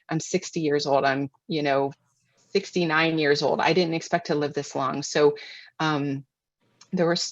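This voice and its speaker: An American woman answering webinar questions as a guest expert, in a measured, earnest, explanatory way. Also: low voice, vocal fry. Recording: muffled lo-fi webinar audio, roomy echo.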